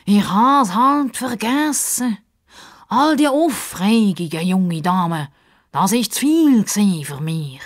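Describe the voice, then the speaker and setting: A woman playing an excitable, pompous male crocodile in a children's story, with emphatic, old-fashioned manners. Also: medium pitch, slow pace, voice pushed up. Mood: defensive.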